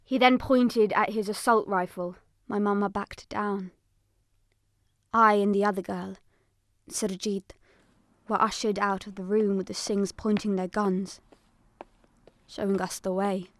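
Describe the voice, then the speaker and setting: A woman's voice: medium pitch, fairly even intonation, a little slow, long pauses, no room sound, clean studio sound, natural voice. A woman playing a frightened fourteen-year-old girl, telling her story with dramatic emotion in an audio drama. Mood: tense, sombre. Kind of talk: dramatic first-person audio drama narration.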